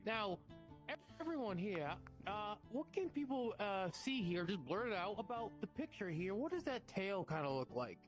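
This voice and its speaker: A man's voice: sits high, very animated, normal speed. A man leading an improvised roleplay mission briefing as game master, informal and sweary, putting questions to the group. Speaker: game master playing a guild quest giver. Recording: loud background music. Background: music.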